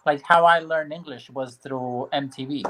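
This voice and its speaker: A man from Croatia, a native Croatian speaker, chatting in a relaxed, rambling way. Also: on the high side, moderate pace, over a noisy crowd, poor audio. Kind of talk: casual group chat.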